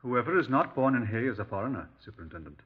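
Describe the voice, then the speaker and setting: An actor playing a talkative, parochial Welsh country police sergeant with a quaint, emphatic manner in a 1950s radio drama. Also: male voice, medium pitch, sing-song, fast talker. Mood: matter-of-fact, insular. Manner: quaint, emphatic, tag questions. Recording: dull, husky-edged 1950s radio recording.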